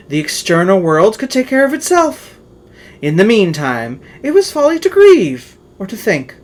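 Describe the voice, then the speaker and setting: A narrator reading a horror tale in a theatrical, dramatic way with drawn-out emphasis. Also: mid-range voice, slight background noise.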